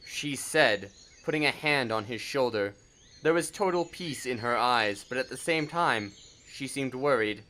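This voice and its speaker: A man's voice: mid-range voice, animated, measured pace, bright, forceful tone, slight background noise. A man reading scripted story narration for an audio drama.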